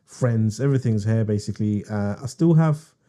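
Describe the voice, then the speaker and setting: Dark-voiced man chatting slangily. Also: lowish voice, normal speed. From Bangladesh. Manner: laid-back, slangy, calls people bro and man. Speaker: podcast co-host. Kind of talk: casual chat.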